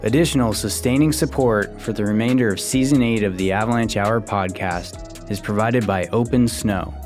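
A man reading scripted sponsor ads in an even, promotional delivery. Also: on the low side, normal speed. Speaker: sponsor ad reader. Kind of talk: scripted ad read. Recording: ad read over soft guitar music.

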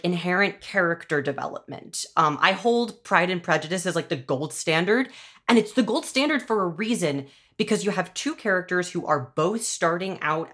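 Low-voiced woman chatting about her craft. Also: fairly bright tone. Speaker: podcast interviewer and audio drama showrunner. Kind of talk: casual conversational interview.

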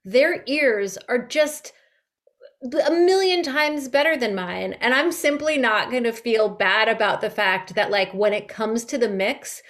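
A woman's voice: a little high, measured pace, fairly bright tone. A woman in a reflective, informal conversation, thinking aloud as a director and producer. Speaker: audio drama director and producer.

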